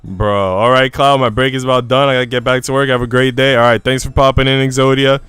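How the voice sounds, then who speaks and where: A man's voice: mid-range voice, steady tone, fast, a bit bright, clean tone, dry recording, faint music behind. A man live streaming, switching between casual slangy chat and reading visual novel narration aloud. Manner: slangy, informal.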